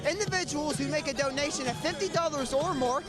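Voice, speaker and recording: A man's voice: very high voice, fast, over loud music. A young American man reporting and interviewing in a presentational, announcer-like broadcast style. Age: young adult. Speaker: student sports and news reporter, interviewer.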